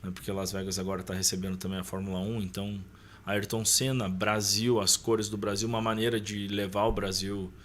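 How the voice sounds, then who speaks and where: A man's voice: fairly low pitch, level tone, brisk, a bit bright, slight background noise. A man in his thirties with a Brazilian accent, telling personal stories casually as a podcast guest in a colloquial, slangy way. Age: 30s. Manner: colloquial, slangy, often seeking agreement with short tags.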